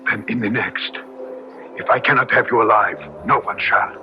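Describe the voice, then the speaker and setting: An actor playing a vengeful, murderous spurned lover in old-time radio drama style. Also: male voice, fairly low pitch, moderate pace, natural voice. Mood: menacing. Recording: muffled radio recording with heavy noise.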